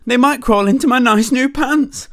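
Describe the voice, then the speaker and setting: A woman's voice: sits high, moderate pace, a bit bright, voice pushed up. A woman voicing a timid, wimpy male cook who is scared of everything, fretful and wailing, in a children's storybook. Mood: panicky, scared. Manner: fretful, wailing delivery with repeated pleas.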